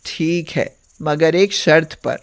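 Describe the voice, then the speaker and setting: A woman's voice: low-pitched, fairly even intonation, slow pace, voice pushed down. A woman voicing a middle-aged, pious and suspicious mother-in-law with a commanding manner in a moral story. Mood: firm. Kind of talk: acted moral story dialogue.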